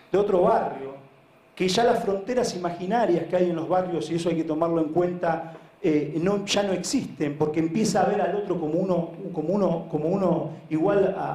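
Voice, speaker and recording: A man's voice: high-pitched, normal speed, mellow. A man with a Buenos Aires accent giving an informal conference talk, earnest and emphatic, often repeating words and checking in with listeners. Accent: Argentine, Buenos Aires. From Argentina. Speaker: speaker, head of a social sports foundation. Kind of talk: conference presentation, informal storytelling. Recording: echoey room, live talk.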